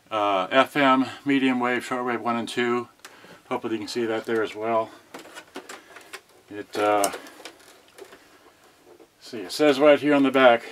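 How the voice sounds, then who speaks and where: A slow-talking man does a casual hands-on product demo in a mid-pitched voice. He sounds distracted, often thinking aloud to himself. The recording has clear background noise and clicks. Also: sharp tone. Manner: distracted, mumbling to himself as he handles things. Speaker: product reviewer. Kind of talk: casual unboxing demonstration. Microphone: camera on tripod, varying distance.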